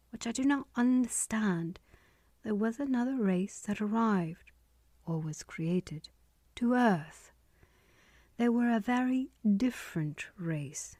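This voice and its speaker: A woman reading a spiritual text aloud in a soft, often half-whispered delivery. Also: medium pitch, dry recording, clean studio sound. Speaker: reader.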